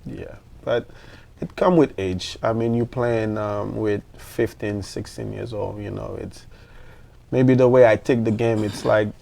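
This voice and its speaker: A man's voice: fairly low pitch, fairly even intonation, measured pace, vocal fry, darkish tone. A man, a national team football player, talking earnestly and searching for words in a radio sports interview.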